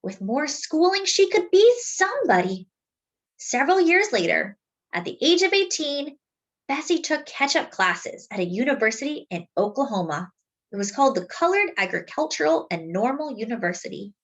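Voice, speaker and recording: High-pitched, lively woman reading a storybook. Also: moderate pace. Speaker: storyteller reading a children's book aloud. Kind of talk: expressive read-aloud narration for children.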